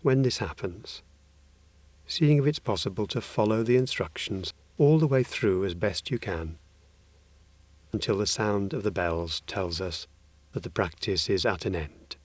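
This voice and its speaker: A man leading a guided meditation as a teacher, speaking in gentle phrases with long pauses, recorded close and dry. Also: on the low side, dry recording.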